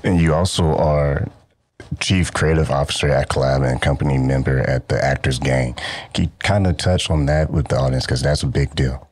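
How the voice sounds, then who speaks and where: A man's voice: extremely low pitch, normal speed, darkish tone, no room sound. A man hosting a casual interview, putting questions in an informal, buddy-like way. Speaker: interviewer, show host.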